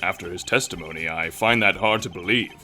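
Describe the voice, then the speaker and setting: Older male courtroom judge acted by a fan dubber, deep, stern, measured, music faintly behind. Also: sharp tone. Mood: skeptical. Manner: formal, measured. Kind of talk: fan-dub game voice acting.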